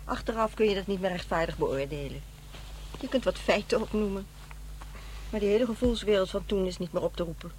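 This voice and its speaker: Actress as blunt middle-aged mother, mid-pitched. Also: fairly quick, a little mellow, natural voice. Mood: matter-of-fact. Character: blunt, matter-of-fact divorced mother in middle age. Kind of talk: Dutch radio drama acting. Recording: noisy, humming radio play recording.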